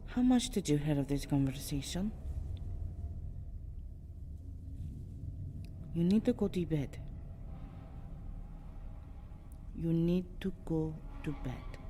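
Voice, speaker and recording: A woman playing a seductive, stern and threatening female vampire in an ASMR roleplay, with a dramatic, deliberate delivery. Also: a bass voice, warm tone. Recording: clearly noisy, ambient background.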